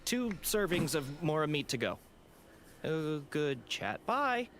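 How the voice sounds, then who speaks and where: Wildly animated bashful young customer. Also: male voice, a little high, slow pace, a little hiss or hum, full character voice. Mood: flustered. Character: cheeky, bashful young male restaurant customer. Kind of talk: video game voice acting. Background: game music.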